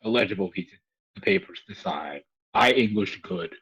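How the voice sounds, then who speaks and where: A man co-reading a comic script casually on a livestream, halting and stumbling over the words. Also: deep voice, wildly swooping pitch, vocal fry, fairly bright tone, slightly rough, low-quality recording. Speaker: co-reader on a comedy livestream. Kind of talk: casual read-aloud narration.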